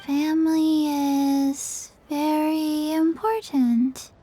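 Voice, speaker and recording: A woman voicing a small, earnest zombie girl in a video game, halting and deadpan in delivery. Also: sits high. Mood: blank, earnest. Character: small undead zombie girl, slow, blank, sweetly earnest. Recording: clear game music behind.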